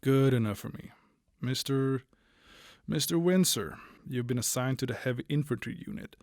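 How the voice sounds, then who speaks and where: Army major, male actor, mid-pitched: officious, petty, awkwardly hesitant; audio drama, close dry recording. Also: animated, moderate pace, vocal fry, darkish tone, no room sound. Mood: brisk, awkward. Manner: hesitant, clipped, bureaucratic. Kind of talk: post-apocalyptic audio drama acting.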